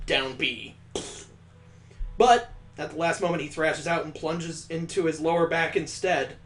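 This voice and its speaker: A man's voice: fairly high pitch, fairly bright tone. A man on a stream reading a visual novel aloud, breaking off for crude, joking asides and mock sound effects.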